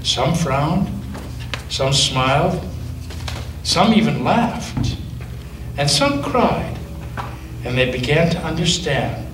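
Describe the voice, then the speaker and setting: A man, a pastor, reading a children's story aloud in a church with expressive storytelling, recorded from a distance with a roomy echo and faint hiss. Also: on the high side, takes it slowly, long pauses. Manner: expressive storytelling, with occasional slips.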